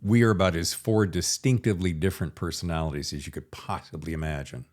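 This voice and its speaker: A man's voice: sits low, steady tone, fast, a little mellow. A man chatting casually as the host of an interview podcast.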